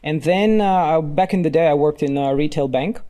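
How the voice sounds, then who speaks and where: A man from Ukraine talks through his career as a podcast guest. His voice sits a little high for a man but sounds mellow and relaxed. He speaks reflectively, pausing on fillers and restarting sentences. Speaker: podcast guest, company CEO. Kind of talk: relaxed interview talk.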